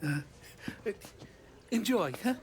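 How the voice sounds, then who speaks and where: A man's voice: high voice, lively intonation, takes it slowly. An actor playing an eager, deferential restaurant owner with an Italian accent, gushing over his guest in a video game crime drama. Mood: welcoming, eager. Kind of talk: cinematic crime drama acting.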